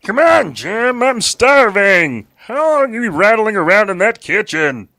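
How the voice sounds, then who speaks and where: Man voicing a high, bright, impatient woman. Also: big pitch swings, a little slow, exaggerated voice. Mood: impatient, calling. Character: impatient hungry woman calling from upstairs. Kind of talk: amateur radio-play script read.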